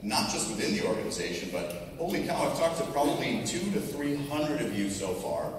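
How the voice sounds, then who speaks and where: A man's voice: medium pitch, big pitch swings, a little fast. Echoey, distant American man addressing a conference. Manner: conversational, restarts for emphasis, tags points with "right?". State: slightly hoarse. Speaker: technology executive, conference speaker. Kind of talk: informal keynote presentation to an audience. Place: large hotel conference ballroom stage. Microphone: PA heard through a distant audience camera. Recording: echoey hall, distant audience recording, noisy.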